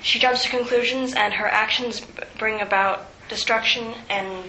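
A woman's voice: fairly high pitch, steady tone, moderate pace, sharp tone. A teenage girl talking casually and off the top of her head about books. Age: teen. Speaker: teen book reviewer. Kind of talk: off-the-cuff casual talk. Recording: echoey room, faint noise.

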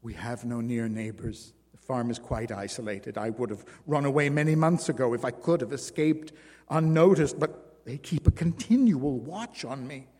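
A man's voice: medium pitch, moderate pace, darkish tone, breathy edge, close to an everyday voice. A man voicing an exhausted, desperate former circus woman who pleads through tears. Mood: pleading. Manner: pleading, tearful. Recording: long reverb.

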